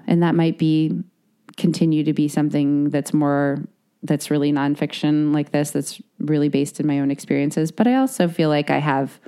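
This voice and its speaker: A woman's voice: low-pitched, dark tone, clean tone. A woman in her late thirties, an author, talking reflectively in a casual interview and searching for words with many fillers. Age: 30s. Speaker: author guest.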